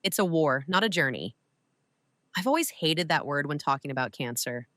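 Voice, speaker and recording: A woman playing a shrewd, composed older widow and mentor in an audio drama, speaking with deliberate authority. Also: low voice, animated, a bit bright, dry recording. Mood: sombre, firm.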